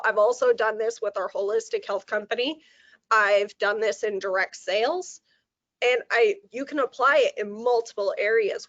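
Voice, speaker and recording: A woman with a slightly hoarse, sore throat, chatting at length on a video call and laughing easily. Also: medium pitch, a bit bright, no echo. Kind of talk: casual video call update. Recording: dull lo-fi video call audio.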